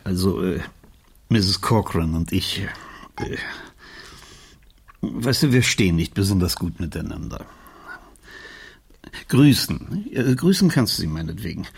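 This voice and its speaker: An actor playing a strait-laced, embarrassed middle-aged uncle in a radio crime drama, husky and stammering with evasive hesitation. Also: male voice, low voice, sing-song, a little slow, pauses often, heavily acted. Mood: awkward, evasive.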